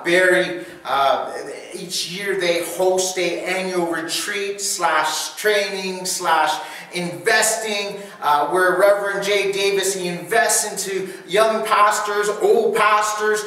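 A middle-aged man preaching a sermon as pastor, earnest and halting with restarts, recorded by a far camera in a dim church hall. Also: high voice, sharp tone. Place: dim church hall before a projection screen. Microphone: far camera, no close mic.

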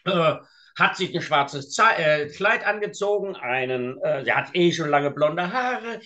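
A man in his seventies talks over a webcam call in a bright, fairly high voice. He rambles and stops often to search for words. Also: expressive, muffled recording. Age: 70s. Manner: rambling, halting with many fillers. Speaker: co-host. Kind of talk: casual political chat. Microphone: video call webcam.

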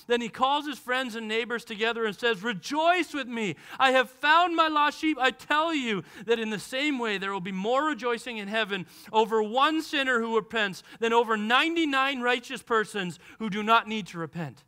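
Bright, high-voiced man preaching a sermon. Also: moderate pace, few pauses, smooth. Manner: animated, gestures widely and acts out voices from the text. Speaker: pastor. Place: large church stage with a lectern.

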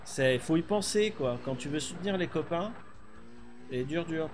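A man's voice: fairly high pitch, animated, moderate pace, noisy background. A middle-aged man streaming a rally game from a small room, chatting casually and thinking aloud with many fillers. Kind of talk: live gameplay chat.

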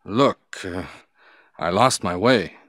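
A man's voice: low-pitched, slow, fairly bright tone, no echo, studio quality, full character voice. An actor playing a blustery, sheepish male team-mate in a stilted nineties video game.

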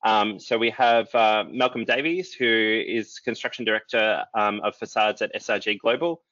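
Bright, dry moderator on a call. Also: male voice, fairly low pitch, moderate pace, no room sound. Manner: relaxed, many "um" fillers. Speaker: moderator, company chief executive. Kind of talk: panel moderation on a video call. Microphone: video call. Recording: dull lo-fi video call audio.

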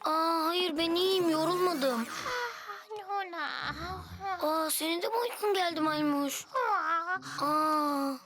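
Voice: sits high, takes it slowly, pauses often, a loud crowd. A performer voicing an eager, playful little boy in a children's cartoon, with an exclamatory childlike manner. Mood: protesting, curious.

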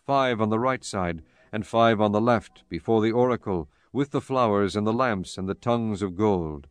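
A man narrating scripture from a script in a measured, formal way. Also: on the low side, a little mellow. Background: music.

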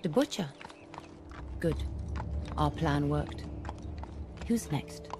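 An actress playing a young, vengeful female assassin in a video game, measured, solemn and intense. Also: low-pitched, lively intonation, background noise, heavily acted. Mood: grave, guarded. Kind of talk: dramatic game cutscene dialogue and narration.

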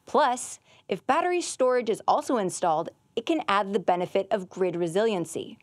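A young woman narrates an educational piece in a clear, measured way. Her pitch is moderate. The recording is close and dry. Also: expressive, no room sound. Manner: clear, measured, informative delivery. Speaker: assistant winemaker.

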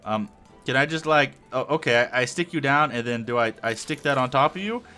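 Young male let's-player, bright and animated, a little hoarse, rambling commentary. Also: mid-range voice, a little fast, faint music behind. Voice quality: hoarse-sounding. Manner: rambling, thinking aloud with many fillers. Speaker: Minecraft let's play host. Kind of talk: casual gameplay commentary.

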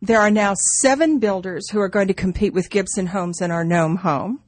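A woman's voice: mid-range voice, moderate pace, no echo. A woman co-hosting a radio show in casual, unscripted chat, with a teasing, interjecting manner. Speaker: radio co-host.

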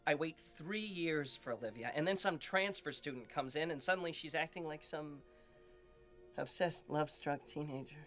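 A man's voice: fairly high pitch, normal speed, fairly bright tone, soft background music, natural voice. A young man playing a jealous, scheming teenage boy who talks in a whiny, petulant way. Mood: bitter. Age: young adult. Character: jealous, scheming teen boy with a pet tarantula.

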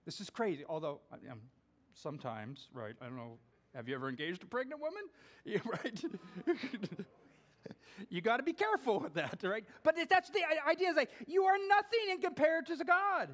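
A middle-aged man preaching a sermon as pastor in a small church, pacing while he reads scripture aloud and swings from emphatic to hushed. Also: extremely high pitch, animated, normal speed. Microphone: far camera.

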